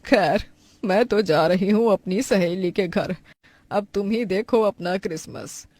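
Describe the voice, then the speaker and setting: A woman's voice: mid-range voice, unhurried, darkish tone, dry recording, lightly acted. A woman playing a disapproving middle-aged Indian mother-in-law in a cartoon family drama, complaining with dramatic exclamations. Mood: grumbling.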